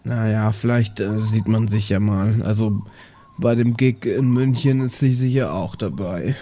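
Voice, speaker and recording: A man playing a shy, self-conscious band member in an improvised audio role-play, speaking haltingly. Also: on the low side, mellow. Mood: bashful. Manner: hesitant, halting, bashful.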